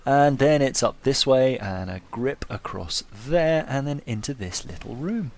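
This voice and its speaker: A man's voice: mid-range voice, expressive, measured pace. A man giving casual gameplay commentary as a video host, wry, understated and self-deprecating.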